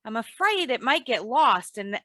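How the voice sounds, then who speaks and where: A woman acting a sensible wife who drily teases and gently scolds in an old-time radio comedy. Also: fairly high pitch, sing-song, normal speed, no echo, studio quality. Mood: friendly, amused.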